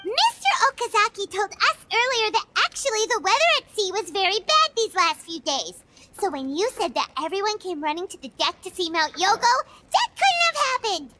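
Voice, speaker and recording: A woman voicing a tiny, childlike fairy companion in a video game, squeaky and earnest. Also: very animated, normal speed, bright, forceful tone, a little hiss or hum, full character voice. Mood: insistent. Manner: refers to self by name. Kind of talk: animated video game voice acting.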